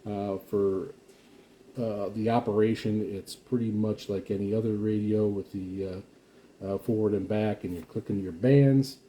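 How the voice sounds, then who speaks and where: A man giving a casual, rambling product walkthrough as a reviewer, speaking in a halting, explanatory way. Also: lowish voice, steady tone, mellow, a little hiss or hum. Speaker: radio reviewer and vlogger.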